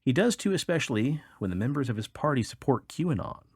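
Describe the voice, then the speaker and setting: A man's voice: mid-range voice, very animated, fast, slightly rough, dry recording, studio quality. A man co-hosting a podcast, reading odd news stories aloud with casual, wry, joking commentary. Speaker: podcast co-host.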